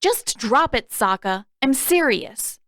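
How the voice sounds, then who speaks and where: A woman's voice: high-pitched, very animated, slow pace, a bit bright, no echo, pitched above the performer's own voice, full character voice. A woman voicing an indignant, stubborn teenage girl with snappish, defensive retorts in an audiobook-style story. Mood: angry, snapping.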